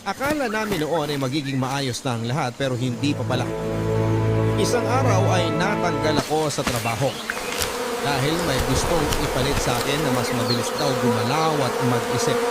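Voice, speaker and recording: A man with a Filipino accent reading a listener's letter as a radio host, in dramatic scripted storytelling. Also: mid-range voice. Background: loud music bed.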